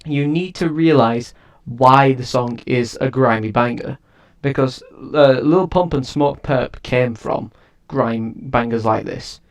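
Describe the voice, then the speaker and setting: A man's voice: medium pitch, slow pace, hardly any pauses. A man giving a casual spoken review of an album, rambling and often restarting his sentences. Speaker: music reviewer and producer.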